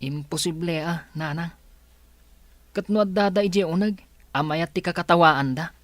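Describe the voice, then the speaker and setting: An actress playing a suspicious, insistent grown child confiding in a parent in a Filipino radio drama. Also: female voice, fairly low pitch, moderate pace, no room sound, lightly acted.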